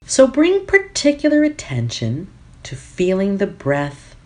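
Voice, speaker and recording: A woman leading a guided meditation as a teacher, giving gentle instructions phrase by phrase with long pauses. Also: mid-range voice, huge pitch swings.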